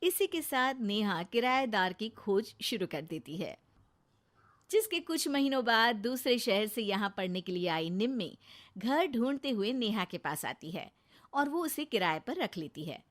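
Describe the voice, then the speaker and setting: Lively woman storyteller. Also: medium pitch, a little slow. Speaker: narrator. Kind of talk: storybook narration.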